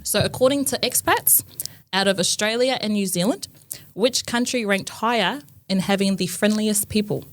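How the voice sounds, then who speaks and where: A woman's voice: medium pitch, normal speed, few pauses. A woman hosting a podcast, upbeat and giggly as she quizzes her guests. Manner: upbeat, giggly, runs a quiz. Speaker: podcast host. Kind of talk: casual interview chat.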